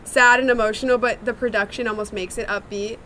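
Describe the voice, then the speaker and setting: Young woman, songwriter interviewee, casual chat, bright voice, faint room ambience. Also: on the high side, fairly even intonation, a little fast, slight background noise, poor audio. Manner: chatty and reflective, many "like" fillers. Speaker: songwriter and singer in a sister duo.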